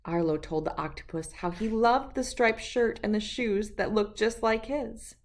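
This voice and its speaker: A woman reading a children's storybook aloud with expressive, deliberate, emphatic phrasing. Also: mid-range voice. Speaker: children's book read-aloud host.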